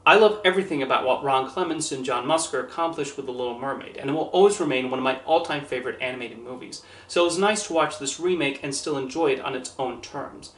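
A man in his thirties delivering a scripted movie review to camera in a measured, earnest way, standing in a small room. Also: mid-range voice, fairly bright tone, echoey room, a little hiss or hum. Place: small indoor room with posters and shelves. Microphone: camera at a few feet, standing.

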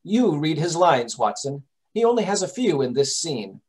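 A man playing a brisk, impatient detective who directs a rehearsal in an old radio drama style. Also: fairly high pitch, very animated, a little mellow. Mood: exasperated. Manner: commanding, exasperated. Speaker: actor. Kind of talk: 1930s radio acting.

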